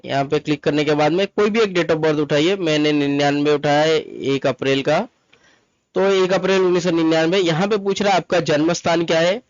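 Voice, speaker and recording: Dull-sounding, higher-pitched man explaining steps. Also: brisk, no echo. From Mumbai, India. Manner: instructional, repeats steps, code-mixes English terms. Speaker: tutorial presenter, travel agent. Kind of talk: informal step-by-step screen tutorial. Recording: dull lo-fi close dry recording. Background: voice-over to screen recording.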